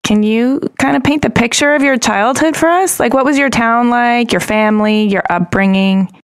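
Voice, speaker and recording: A woman's voice: on the high side, moderate pace, smooth. A woman co-hosting a design podcast, introducing the guest and asking questions in an upbeat, friendly way. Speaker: podcast co-host. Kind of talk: casual interview hosting.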